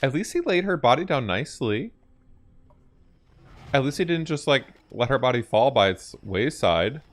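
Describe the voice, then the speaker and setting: A man's voice: mid-range voice, lively intonation, normal speed. A man hosting a reaction video, commenting casually on game cutscenes and thinking aloud informally. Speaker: gaming reaction host.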